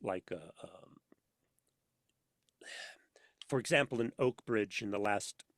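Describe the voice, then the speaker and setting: A man chatting casually as an interview guest, telling anecdotes in an animated way and acting out bits of dialogue. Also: on the low side, takes it slowly, dry recording, clean studio sound. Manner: animated storyteller, acts out imagined dialogue. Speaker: podcast guest, sound designer for audio dramas. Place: inside a studio.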